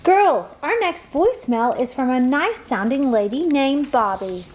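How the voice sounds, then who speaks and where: A woman playing a high-voiced, animated, firm mom. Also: unhurried. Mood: encouraging, businesslike. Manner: instructing, motherly. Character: brisk, firm mother producing her daughters' podcast. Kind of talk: scripted family radio play acting.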